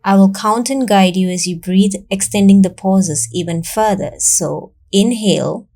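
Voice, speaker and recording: A woman narrating a guided meditation in a calm, soothing, measured way, leaving long pauses between instructions. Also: medium pitch.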